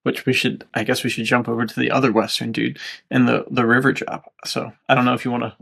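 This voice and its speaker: A man's voice: medium pitch, level tone, quick pace, gravelly, fairly bright tone, breathy edge. A man hosting a casual podcast chat, moderating between guests. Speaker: podcast host.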